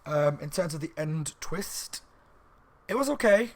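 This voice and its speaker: A slightly hoarse man reviewing a book in a rambling, casual chat at home, with lots of ums and restarts. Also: fairly high pitch, lively intonation, measured pace. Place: room at home by a window on a main street.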